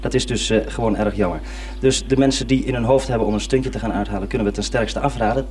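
A man's voice: mid-range voice, steady tone, fast, darkish tone. A man explaining things informally on the radio as a programme maker. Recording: distant, slightly noisy old radio recording.

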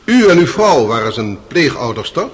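An actor playing a formal, insistent public prosecutor in a radio courtroom drama, precise and pointed in manner. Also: male voice, mid-range voice, very animated, normal speed, natural voice. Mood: questioning.

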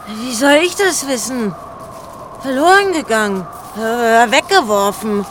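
High-voiced, bitter, dramatic widow. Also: female voice, expressive, unhurried, a bit bright. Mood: grumpy, dismissive. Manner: curt, dismissive, theatrical. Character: bitter, whining, dramatic older widow pining for her lover. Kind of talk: radio drama acting. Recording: stormy wind noise behind voice.

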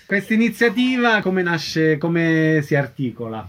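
A man's voice: a little high, big pitch swings, a little slow, a bit bright. A man hosting a radio interview programme, introducing guests and asking them questions.

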